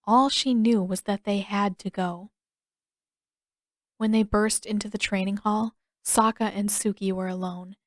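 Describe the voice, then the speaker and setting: A woman's voice: medium pitch, dry recording. Woman narrating a story, very dry sound. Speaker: audiobook narrator.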